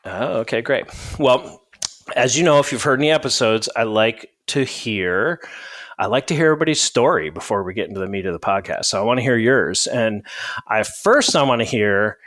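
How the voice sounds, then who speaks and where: A man hosting a podcast interview, talking in a relaxed, chatty way with many fillers and restarts. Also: medium pitch, a little fast, no room sound, clean studio sound. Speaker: podcast host. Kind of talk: casual interview.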